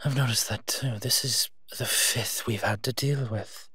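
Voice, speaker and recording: A gentle monster guardian played by a man talks slowly and softly in a close, dry recording. He is a protective, playfully teasing partner in an intimate audio roleplay, speaking straight to the listener at a mid-range male pitch. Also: fairly even intonation, slightly rough, no echo, full character voice. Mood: serious, concerned. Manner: soft-spoken, unhurried, playfully teasing.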